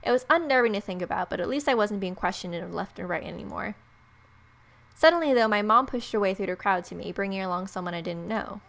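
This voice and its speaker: A woman's voice: mid-range voice, animated, fast talker, gravelly, a bit bright. A woman hosting a gaming video, reading a visual novel's story aloud with playful asides.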